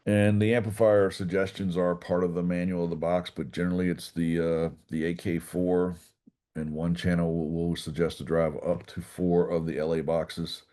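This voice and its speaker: A man giving an informal technical class, walking through a software demonstration step by step in a folksy way. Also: low-pitched, level tone, moderate pace. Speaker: class instructor.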